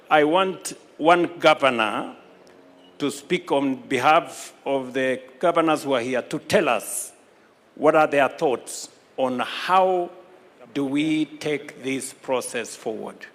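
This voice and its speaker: A man chairing a public forum in a measured, deliberate way. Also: on the high side, fairly bright tone. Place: large conference hall. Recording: long hall reverb.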